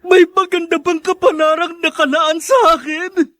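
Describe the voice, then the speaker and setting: Destitute young man for radio drama; very high pitch, tearful, despairing lament. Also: fairly even intonation, normal speed, pushed and bright, no room sound. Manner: anguished, lamenting. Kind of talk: Filipino radio drama acting.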